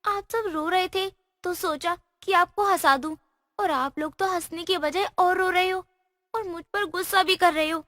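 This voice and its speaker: A woman's voice: very high-pitched, normal speed, fairly bright tone, no room sound, clean studio sound. A woman voicing a cheeky, playful fairy girl in a cartoon story. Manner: teasing, chirpy, mischievous. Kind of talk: cartoon voice acting.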